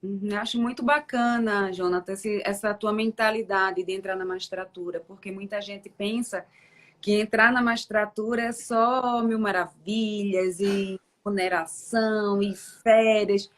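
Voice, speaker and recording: A woman's voice: mid-range voice, fairly even intonation, a little slow. A Brazilian woman judge interviewing a guest, speaking in an encouraging, reflective conversational way. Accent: Brazilian Portuguese.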